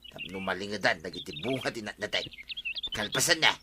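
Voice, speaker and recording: A man playing a scheming, easygoing village husband in a comedic radio drama, muttering colloquially with drawn-out interjections. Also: fairly low pitch, noisy background, lightly acted. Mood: sly muttering.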